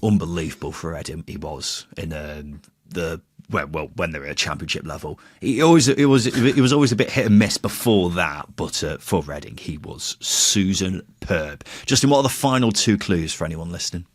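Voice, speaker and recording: Low-voiced man, dry close sound. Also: animated, moderate pace, few pauses, husky, no room sound. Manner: jokey, playful teasing, mild swearing. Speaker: football podcast host. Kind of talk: casual banter, quiz chat.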